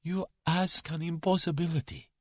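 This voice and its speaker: Guy doing a posh cowardly nobleman, high soft voice, halting and formal, storybook villain, very close dry sound. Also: sing-song, no room sound. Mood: sullen dread. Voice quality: soft-spoken, high when agitated. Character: cowardly, haughty Russian aristocrat man, nervous and hysterical.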